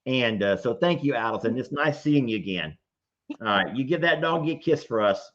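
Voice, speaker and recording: Chatty man host, lively pitch. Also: fairly quick. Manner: chatty, laughs easily, rambling. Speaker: show host taking callers. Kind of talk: live call-in chat. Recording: slightly muffled audio.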